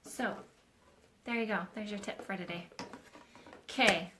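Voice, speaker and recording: A woman's voice: medium pitch, a little slow, background noise. A woman hosting a casual live Q&A, teaching nail technicians in a chatty, hands-on way. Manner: chatty, demonstrates while talking, addresses viewers as "you guys".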